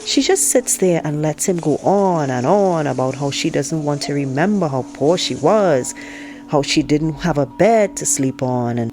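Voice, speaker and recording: A woman's voice: low-pitched, a little mellow. Woman reading as outspoken, indignant teenage girl. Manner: frank, opinionated, conversational, sarcastic. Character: outspoken, indignant teenage girl narrating her family life. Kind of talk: first-person audiobook read-aloud.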